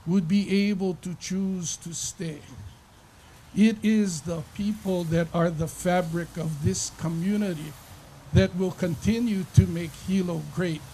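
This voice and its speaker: A man's voice: high-pitched, dark tone, a little hiss or hum, rough recording. A man, a state legislator, giving an impassioned speech at a bill signing, stressing his points through lists.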